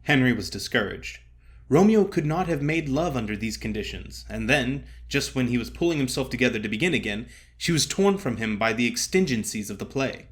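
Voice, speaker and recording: A man performs a humorous story as a narrator. His delivery is theatrical and expressive, with dry wit and a pitch that moves widely from phrase to phrase. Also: fairly quick. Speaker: narrator, actor. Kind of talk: dramatic comic story narration.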